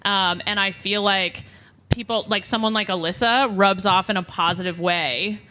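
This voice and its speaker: A woman with a bright, forward voice. She chats casually and tells anecdotes as a podcast co-host at a live show. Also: medium pitch, fairly even intonation, moderate pace, slight background noise. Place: live show before an audience.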